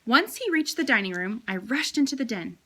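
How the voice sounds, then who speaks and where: High-voiced woman reading a children's story. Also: lively intonation, brisk, sharp tone. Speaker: teacher reading aloud. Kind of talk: expressive children's storybook narration.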